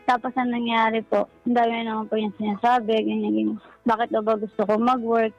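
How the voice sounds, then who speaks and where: A woman's voice: a little high, level tone, brisk, dull audio. A woman with a Filipino accent calling in to a talk show, recounting personal troubles in a polite, deferential way. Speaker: caller. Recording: background music under the voice. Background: music.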